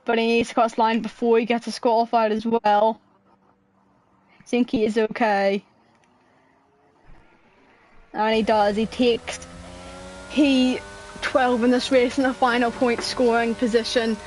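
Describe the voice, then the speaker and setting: A woman giving excited sim racing commentary while losing her voice. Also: fairly high pitch, unhurried, long pauses, slight background noise, poor audio. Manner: excitable, laughs at herself. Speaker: race commentator.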